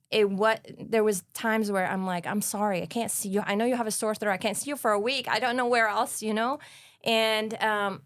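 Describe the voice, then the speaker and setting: Lebanese woman doctor chatting fast and earnest, lots of ums, dry close sound. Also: medium pitch, dry recording. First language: Arabic. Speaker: guest, family doctor. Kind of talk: casual interview talk.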